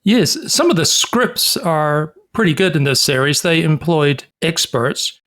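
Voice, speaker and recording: A man co-hosting a podcast, explaining history in a measured, informative way. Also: fairly high pitch, very animated, fairly bright tone. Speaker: podcast co-host. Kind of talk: casual chat.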